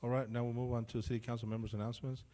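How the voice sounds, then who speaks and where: A man chairs the council meeting, briskly calling on speakers and moving the agenda along. He sounds loud and somewhat distant from the mic. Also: mid-range voice, level tone.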